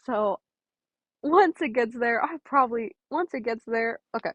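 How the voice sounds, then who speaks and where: Casting: female amateur story reader, higher register, casual and conversational, dry and slightly lo-fi home sound. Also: unhurried, no room sound. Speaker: podfic reader. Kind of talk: story narration and casual chat to listeners.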